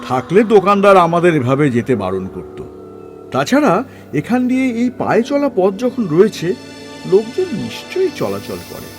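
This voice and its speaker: Man acting a senior trek leader, cautious, explanatory, lively pitch, audio drama, music underneath. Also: moderate pace. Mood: reasoning, uneasy.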